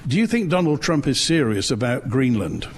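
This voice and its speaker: A man hosting a live radio talk show from a school, chatty and brisk as he questions students. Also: medium pitch, big pitch swings, slightly rough. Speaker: radio phone-in host. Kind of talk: live radio chat on location. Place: school, on location.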